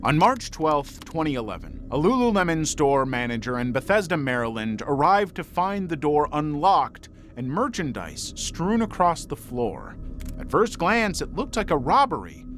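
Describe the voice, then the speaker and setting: Male true crime narrator: slightly high register, animated melody, measured dramatic phrasing over a music bed. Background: background music.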